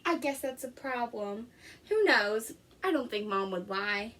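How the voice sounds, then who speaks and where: A woman's voice: a little high, measured pace. A woman reading a storybook expressively as an anxious, literal-minded little boy. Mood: worried, puzzled. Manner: expressive storybook read-aloud.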